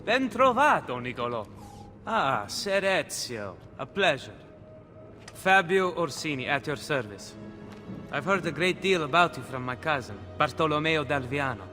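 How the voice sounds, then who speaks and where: A courteous Italian nobleman and commander in a game cutscene. The accented voice is bright and somewhat high, with long reverb around it. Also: sing-song, measured pace, soft background music, exaggerated voice. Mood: gracious. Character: courteous noble male Renaissance military commander. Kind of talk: video game cutscene acting.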